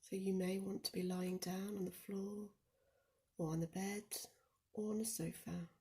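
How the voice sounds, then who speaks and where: A woman leading a guided meditation in a soft, soothing, often near-whispered voice, speaking deliberately with gentle pauses. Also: medium pitch, little pitch movement. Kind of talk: guided body scan meditation.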